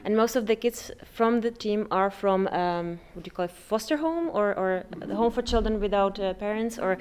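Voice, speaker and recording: A woman's voice: mid-range voice, normal speed, a little mellow, slight background noise. A middle-aged woman with a Czech accent speaking informally to a group, searching for words as she goes. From Czech Republic. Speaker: parent community service representative.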